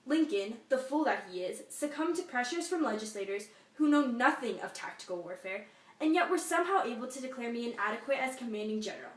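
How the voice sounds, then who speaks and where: A woman's voice: a little high, animated, a little fast, close to an everyday voice. A woman playing a boastful, bitter dismissed male Civil War general in a pompous, formal soliloquy. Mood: bitter, indignant. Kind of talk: memorized history class soliloquy. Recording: echoey room, distant microphone.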